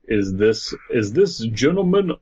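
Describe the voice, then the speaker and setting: Husky, animated man on a podcast. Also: medium pitch, a little slow, muffled recording. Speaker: podcast co-host. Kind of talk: reading fiction aloud with casual banter.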